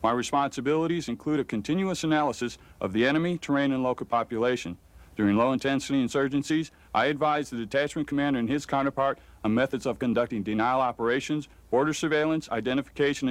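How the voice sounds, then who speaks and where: A man, an army intelligence sergeant, gives a scripted introduction and runs briskly through his duties. He talks quickly and matter-of-factly. The recording is dry and close. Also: medium pitch, no echo. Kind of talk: scripted military self-introduction.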